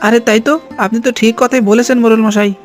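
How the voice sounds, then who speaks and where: A man voicing a sneaky, excitable henchman who fawns on his village boss in a cartoon. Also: very high voice, racing, faint music behind. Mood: flustered, eager. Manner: obsequious, excitable. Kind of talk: Bengali cartoon voice acting.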